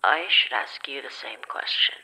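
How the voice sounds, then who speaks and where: A woman playing a menacing ghostly elder in a horror audio drama, with a husky whisper and a slow, challenging manner. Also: rumbling low, bright, forceful tone, heavily acted, low-quality recording. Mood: cold, menacing.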